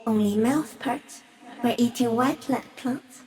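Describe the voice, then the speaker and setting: Game creature: a detached, curious stick insect. High, mellow, soft and halting. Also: female voice. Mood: serene, reflective. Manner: slow, halting, deliberate musing in short fragments. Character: ancient, serene, otherworldly giant stick insect, detached and curious. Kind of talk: video game character voice acting.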